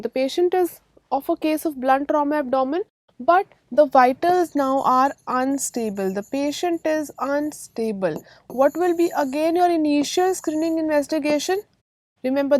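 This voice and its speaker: A woman's voice: high voice, darkish tone, no echo. A woman with an Indian accent teaching a medical exam-prep lecture, emphatically repeating key points and checking understanding with questions.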